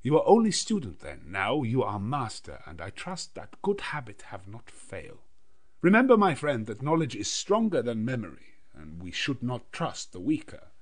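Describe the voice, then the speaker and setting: A man's voice: medium pitch, huge pitch swings, normal speed, pitched above the performer's own voice. A man voicing a grave, learned old Dutch professor from a classic novel, kindly and commanding, in emphatic, oddly phrased English. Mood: grave, instructive.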